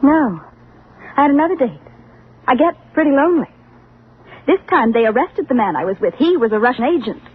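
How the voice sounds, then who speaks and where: A woman's voice: high voice, expressive, fairly quick, long pauses. An actress playing a bitter, lonely young woman, guarded and plainspoken, in a vintage radio drama. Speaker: actor in dramatic role. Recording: tinny, noisy vintage radio recording.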